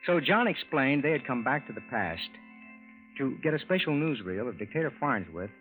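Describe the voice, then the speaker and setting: A man's voice: medium pitch, big pitch swings, normal speed, lightly acted. A man acting a folksy, skeptical, bewildered American husband and narrator in an old radio drama, in a colloquial style. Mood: reflective narration. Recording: tinny vintage radio recording, music behind.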